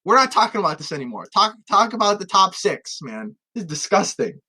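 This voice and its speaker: A man's voice: sits high, very animated, brisk, sharp tone, breathy edge. A man co-hosting a podcast, chatting casually and reacting with exclamations and dismay. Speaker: podcast co-host.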